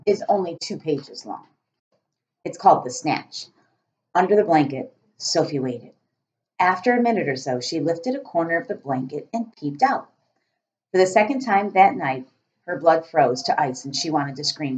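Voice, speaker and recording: A middle-aged woman, a teacher, reading a children's storybook aloud with deliberate, expressive phrasing, recorded at home on a laptop. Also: low voice. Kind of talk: storybook read-aloud narration. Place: small room at home, indoors, beside a kitchen. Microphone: laptop webcam at arm's length. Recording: dull lo-fi webcam sound.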